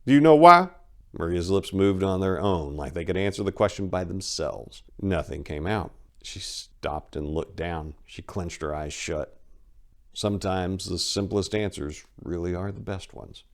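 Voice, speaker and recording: A man's voice: sits low, a little slow, darkish tone. A man narrating a crime story as a dramatic scripted reading.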